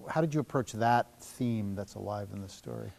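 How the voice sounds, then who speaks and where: A man hosting an interview, asking brief, measured questions. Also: lowish voice, creaky, darkish tone. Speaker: radio interviewer.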